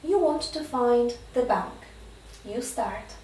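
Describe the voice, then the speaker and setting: A woman conducting a spoken English exam as the interviewer, giving clear, measured prompts. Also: fairly high pitch, lively intonation, a little mellow, roomy sound, recorded from a distance, a little hiss or hum, rough recording.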